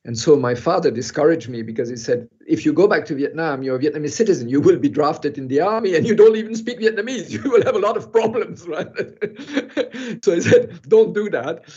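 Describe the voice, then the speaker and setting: An older man who grew up in Europe, speaking as a guest in an online talk and telling a personal story in a halting, reflective way with soft laughs. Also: on the high side, animated, slightly rough. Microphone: video call. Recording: muffled video call audio.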